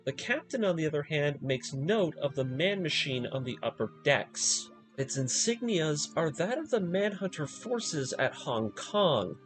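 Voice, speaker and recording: A man hosts a video essay, retelling a story from a script with dramatic emphasis. His voice sits a little high and moves up and down freely, with pointed pauses for weight. Faint music plays behind him. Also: big pitch swings. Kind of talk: scripted story recap narration with opinionated asides.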